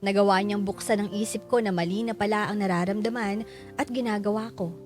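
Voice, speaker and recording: A woman's voice: mid-range voice, fairly even intonation, brisk, darkish tone, faint music behind, lightly acted. An actress playing a remorseful wife who narrates her own story in a Filipino radio drama, reflective and confessional.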